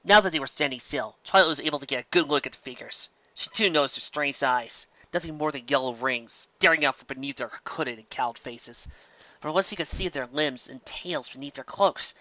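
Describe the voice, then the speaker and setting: Storyteller for fantasy fiction: sharp, forceful tone, dry and slightly dull home recording. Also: mid-range voice, brisk, no echo. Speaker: storyteller reading fan fiction aloud. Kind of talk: dramatic scripted story narration.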